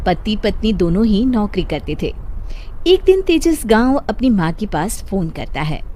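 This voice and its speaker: A woman's voice: medium pitch, big pitch swings, unhurried, warm tone, a little hiss or hum. A woman narrating a scripted moral story as the storyteller.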